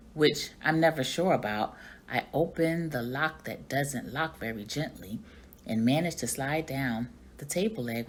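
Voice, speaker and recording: A woman reading a children's story aloud like a teacher, with expressive narration. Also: low voice, slight background noise.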